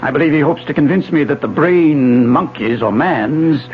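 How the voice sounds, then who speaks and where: An actor playing a suave, condescending middle-aged husband and researcher in a 1970s radio drama, talking in a polished, lecturing way. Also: male voice, on the high side, normal speed, a little hiss or hum, close to an everyday voice. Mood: condescending, smug. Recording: muffled 1970s AM radio broadcast.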